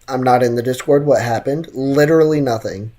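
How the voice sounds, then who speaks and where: A man's voice: medium pitch, fairly quick, warm tone. A young American man chatting casually and slangily as he streams a game, reading chat and answering it. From United States. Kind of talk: casual live gaming chat. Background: game music.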